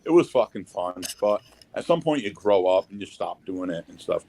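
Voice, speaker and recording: A man's voice: low voice, big pitch swings, normal speed, vocal fry, warm tone. A man talking crudely and rambling on a casual panel.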